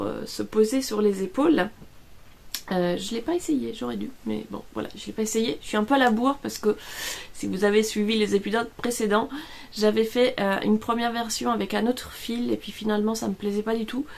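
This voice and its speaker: A middle-aged woman from Italy with a clear Italian accent, speaking casually at home. Her voice sits mid-range with a gravelly edge. She hesitates often, tired after an illness. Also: medium pitch. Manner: hesitant, many fillers and restarts. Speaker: vlogger. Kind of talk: casual chat.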